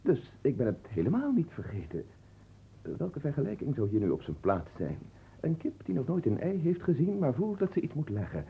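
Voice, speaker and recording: An actor playing a pompous, absent-minded marquis who muses aloud theatrically in a radio play. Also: male voice, on the high side, huge pitch swings, normal speed, gravelly, mellow, slight background noise, heavily acted, rough recording. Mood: fretful musing.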